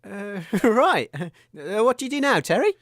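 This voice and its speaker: A man's voice: high voice, sing-song, a little slow, a bit bright, dry recording. Guy hosting comedy radio, hesitant n polite, voice goes all over the place, dead dry studio sound. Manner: hesitant, polite, flustered stammering. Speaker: radio show co-host.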